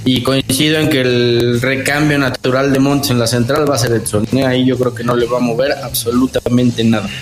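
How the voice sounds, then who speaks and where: A man's voice: mid-range voice, flat delivery, moderate pace, hardly any pauses, soft background music, low-quality recording. A man giving opinions as a sports pundit in casual debate, insistent and repeating phrases for emphasis.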